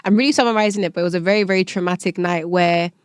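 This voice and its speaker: A woman's voice: on the low side, very fast, clear, resonant tone, dry recording, clean studio sound. A woman from Nigeria with a Nigerian accent, telling personal stories candidly and conversationally as a podcast guest. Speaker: podcast guest, event organiser.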